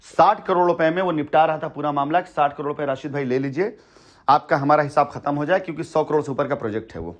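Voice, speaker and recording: Guy on a phone call, slightly high voice, really earnest, keeps repeating his points to persuade. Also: fast. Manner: earnest, emphatic, repetitive. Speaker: company head addressing a customer by phone. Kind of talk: persuasive phone conversation, long monologues. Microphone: telephone.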